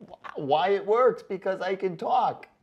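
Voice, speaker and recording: Man, fairly high voice, online reviewer, casual comic rant, mocking, profane, emphatic swings. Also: lively intonation, unhurried, breathy edge, dull audio. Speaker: online boot review video host. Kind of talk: casual comedic rant reading viewer comments aloud.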